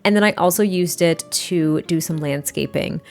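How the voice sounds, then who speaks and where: A young adult woman with a slightly hoarse, rough voice, chatting casually and rambling through a voiceover, sounding a little tired. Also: on the low side, moderate pace, darkish tone, soft background music. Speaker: Sims speed build creator.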